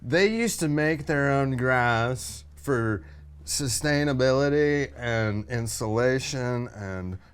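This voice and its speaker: A man's voice: mid-range voice, slow, clear, resonant tone, close to an everyday voice. A man playing a laid-back, spaced-out eco hippie who rambles in a comedy sketch. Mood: earnest amusement.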